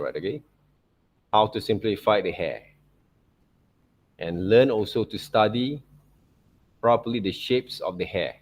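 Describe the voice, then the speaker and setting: A man narrating an informal art software tutorial as a teacher, in loosely phrased non-native English, often checking in with short tag words. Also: lowish voice. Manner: repeats check words like okay and right.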